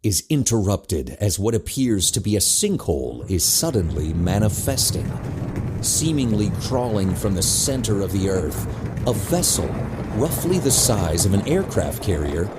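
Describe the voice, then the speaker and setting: A man reading scripted audio drama narration as a deliberate, descriptive storyteller. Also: fairly low pitch, sing-song, very noisy.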